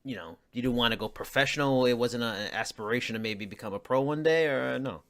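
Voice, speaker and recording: A man's voice: mid-range voice, quick pace, fairly bright tone. A man hosting an interview, asking friendly questions with frequent stammered restarts. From United States. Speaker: interviewer, host.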